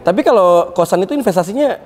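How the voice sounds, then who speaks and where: Man, 26, mellow, relaxed, slangy. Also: high-pitched, fast, a faint crowd. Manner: laid-back, laughing slang. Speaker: interviewee. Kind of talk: casual slangy interview answers.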